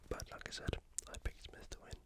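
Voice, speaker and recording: Whispered ASMR sports commentary. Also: fairly quick. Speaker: video creator giving fight reactions.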